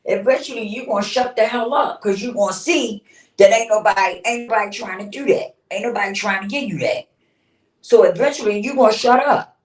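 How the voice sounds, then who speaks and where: A woman's voice: mid-range voice, brisk, recorded from a distance. A woman in her fifties talking bluntly and casually on a livestream, swearing freely and laughing often. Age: 50s. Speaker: livestream host. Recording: roomy sound, speaking away from the mic.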